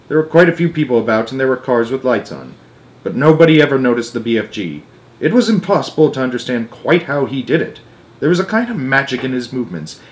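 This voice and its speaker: A man narrating a children's book aloud in an expressive way, with dramatic emphasis phrase by phrase. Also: medium pitch. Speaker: storyteller.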